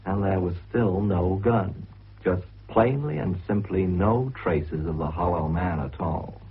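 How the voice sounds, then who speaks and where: An actor playing a composed narrator who recounts a mystery in a radio drama, chatty, orderly and confiding. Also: male voice, low-pitched, unhurried, breathy edge, heavily acted. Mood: confiding, intrigued. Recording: muffled, phone-like old radio recording.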